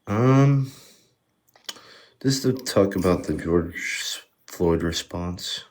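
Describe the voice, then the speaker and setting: A man from Florida calling into a live stream, talking in a slow, halting, mumbled way. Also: low-pitched, lively intonation, darkish tone. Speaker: caller.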